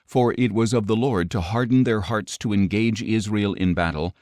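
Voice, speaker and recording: A man narrating scripture as a scripted audiobook, reading in a measured, solemn manner. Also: low voice, a little mellow, dry recording, clean studio sound.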